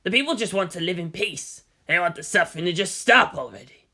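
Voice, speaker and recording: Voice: medium pitch, lively intonation, fairly quick, bright, forceful tone. A narrator voicing a sour, defensive middle-aged prime minister in a story, hissing with indignation. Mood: defensive anger.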